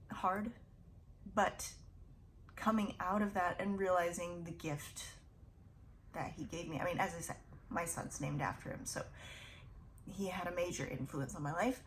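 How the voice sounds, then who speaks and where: A woman's voice: mid-range voice, a little hiss or hum. A woman in her thirties hosting a casual book vlog, chatting in a rambling, self-deprecating way. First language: English. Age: 30s. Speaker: YouTube book channel host. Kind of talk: casual to-camera vlog chat.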